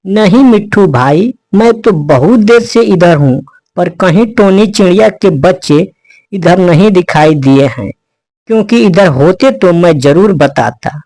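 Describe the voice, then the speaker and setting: A man's voice: sits high, expressive, unhurried, darkish tone, no echo, poor audio. A man voicing an honest, brotherly crow in a cartoon story, earnest and plain.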